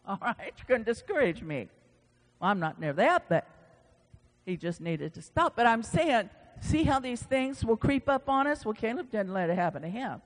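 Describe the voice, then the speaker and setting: High-voiced preacher man in a reverberant hall. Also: fairly bright tone. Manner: conversational, emphatic repetition, folksy asides. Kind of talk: informal sermon with scripture reading.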